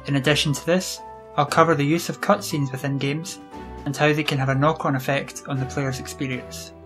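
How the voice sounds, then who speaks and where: A British man hosting an educational video, reading scripted explanations in a measured, instructional way. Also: a little high, fairly even intonation. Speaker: video series host. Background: music.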